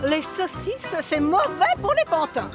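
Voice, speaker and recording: A woman's voice: extremely high pitch, sing-song, a little slow, over loud music, heavily acted. A woman voicing a prim, snobbish lady puppet in a cartoon puppet show, with an affected, disdainful manner.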